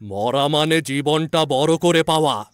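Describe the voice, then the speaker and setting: A man's voice: a little high, a little slow, clean tone, no room sound, natural voice. A man voicing an argumentative older male herbal doctor in an audio story, emphatic and condescending. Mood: indignant, scolding.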